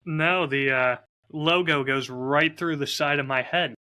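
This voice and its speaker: A man's voice: a little high, fairly even intonation, a little slow, sharp tone. A man chatting casually as a podcast co-host, with a wry, self-deprecating way of talking. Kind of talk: casual chat.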